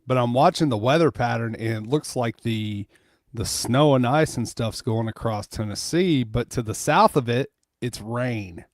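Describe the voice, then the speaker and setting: Male livestream guest, medium pitch, casual and conversational, dry recording. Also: normal speed, no echo. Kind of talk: casual chat.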